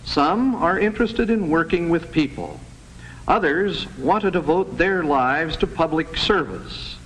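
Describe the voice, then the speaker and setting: A man giving a formal prepared speech as a chief of police, earnest and measured in his delivery. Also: a little high, lively intonation, a bit bright. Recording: noisy 1960s film soundtrack.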